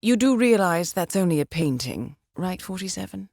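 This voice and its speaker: Composed female mission handler, low voice, lively intonation, dry wit, formal game briefing, close dry sound. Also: gravelly, no room sound, exaggerated voice. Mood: poised, instructive. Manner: measured, formal, dryly understated. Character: composed, dry-witted female intelligence handler guiding an assassin. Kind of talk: scripted video game voice acting, mission briefing.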